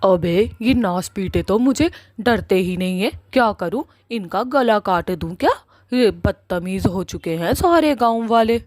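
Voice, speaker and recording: A woman's voice: medium pitch, expressive, a little slow, a little mellow, no echo, lightly acted. Woman doing a bossy cartoon witch, angry and scolding, threats galore, really dry close sound. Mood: grumbling. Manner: scolding, emphatic, repeats words for menace. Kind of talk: Hindi cartoon horror-comedy acting.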